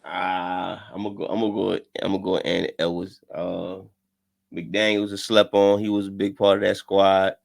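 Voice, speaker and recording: A low-voiced man co-hosting a casual basketball podcast. He talks in a relaxed way, repeats himself as he thinks, and moves the chat along to the next topic. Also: moderate pace, creaky. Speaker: podcast co-host. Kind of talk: casual sports debate chat.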